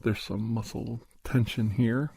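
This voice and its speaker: A man hosting an ASMR podcast, talking informally in a hushed, unhurried way close to the microphone, sometimes slipping toward a whisper. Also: mid-range voice, fairly even intonation, gravelly, slightly rough, dry recording. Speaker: podcast host. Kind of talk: ASMR, rambling update and story recap.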